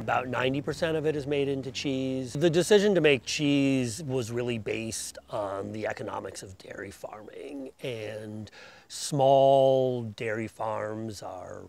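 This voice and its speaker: A man's voice: mid-range voice, creaky. A man in his forties, a dairy farmer, speaking thoughtfully and plainly in a documentary interview outdoors on a lapel mic. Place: outdoors by a stone barn.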